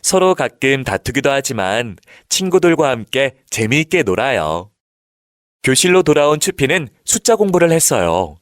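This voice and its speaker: A man reads a children's storybook as narrator, with expressive, animated intonation made for young listeners. His voice sits at a middle pitch, recorded dry with no room sound. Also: normal speed, no echo.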